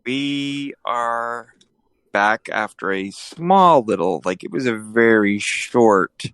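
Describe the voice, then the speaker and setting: A man's voice: medium pitch, lively intonation, takes it slowly, a bit bright, clean tone, no room sound. A man hosting a casual podcast, rambling and joking with his co-host, often restarting his sentences.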